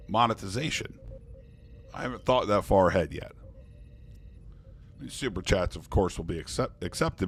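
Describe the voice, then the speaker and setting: A man chatting casually on a live poker stream, thanking viewers and joking in character as a starship commander. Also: fairly low pitch. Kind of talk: casual live-stream chat while playing online poker.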